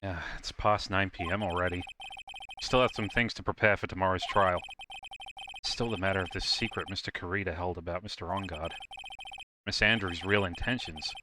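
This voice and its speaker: A man voicing an earnest, thoughtful young defense attorney in a deliberate, theatrical read of video game dialogue. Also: deep voice, steady tone, noisy background, close to an everyday voice. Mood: earnest, pondering. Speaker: streamer dubbing a video game live.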